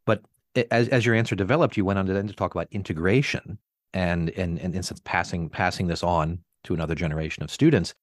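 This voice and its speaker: Deep-voiced man asking long questions. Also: steady tone, fast, dry recording, studio quality. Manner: long winding questions, hesitant repeats. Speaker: podcast host. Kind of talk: academic interview questions.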